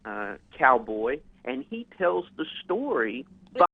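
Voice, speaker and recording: A man's voice: medium pitch, big pitch swings, takes it slowly, slight background noise. A man who is a guest on a talk show, promoting his products in a hesitant, filler-heavy way. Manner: hesitant, many fillers and restarts. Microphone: phone line. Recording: tinny phone line.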